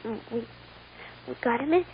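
A woman playing a hurt, bewildered little American girl with halting, plaintive speech in an old-time radio drama. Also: a little high, animated, lightly acted. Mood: earnest, trusting. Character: hurt, bewildered little girl seeking her mother's love. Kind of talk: 1940s-50s American radio drama acting. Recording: dull, noisy old radio recording.

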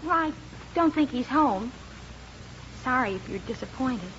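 An American actress playing a shy, gentle, soft-spoken young wife in a 1950s radio comedy, speaking tentatively. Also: female voice, sits high, animated, measured pace. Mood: guarded. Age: young adult. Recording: noisy, muffled 1950s radio recording.

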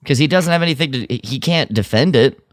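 A man co-hosting a podcast, chatting casually and retelling a story in an animated way. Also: mid-range voice, fast, no echo, clean studio sound. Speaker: podcast co-host. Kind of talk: casual review chat about an audio drama.

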